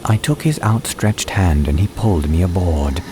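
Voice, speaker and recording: A man reading a dramatized storybook as an audiobook narrator, with measured, deliberate phrasing. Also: sits low. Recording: clear ambience and train noise behind. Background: soundscape of train and ambience.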